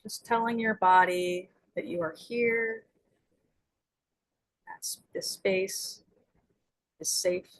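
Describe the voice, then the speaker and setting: A woman leading a guided meditation as its guide, speaking in gentle, soothing invitations with long pauses. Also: medium pitch, fairly even intonation, slight background noise, low-quality recording.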